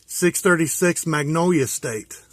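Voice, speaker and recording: An American man from West Virginia talking casually and informally as he shows things, pausing to think aloud. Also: fairly high pitch. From West Virginia, USA. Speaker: hobbyist vlogger. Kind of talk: casual show-and-tell.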